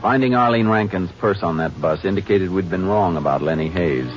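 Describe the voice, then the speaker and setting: Guy doing a low-voiced no-nonsense ranger, old dull radio sound, music behind. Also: a little fast, lightly acted. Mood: narrating. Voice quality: calm, clipped, matter-of-fact. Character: steady, no-nonsense male Texas Ranger investigator. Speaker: Texas Ranger and narrator. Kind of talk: 1950s radio drama acting.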